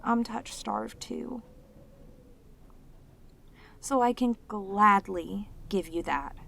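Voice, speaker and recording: Woman voicing a slow-talking, devoted yandere demoness. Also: medium pitch, creaky, fairly bright tone, a little hiss or hum, exaggerated voice. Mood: tender, pleading. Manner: tender, halting, slow, intensely devoted. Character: sweet, devoted, clingy yandere demoness, long sealed away. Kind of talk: romantic audio roleplay, ASMR acting.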